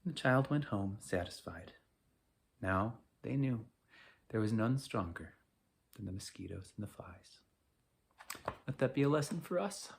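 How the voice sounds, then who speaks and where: A storyteller reading a bedtime folk tale aloud in an expressive, dramatic way. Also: mid-range voice, creaky. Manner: dramatic, animated delivery. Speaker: storyteller reading to a school community.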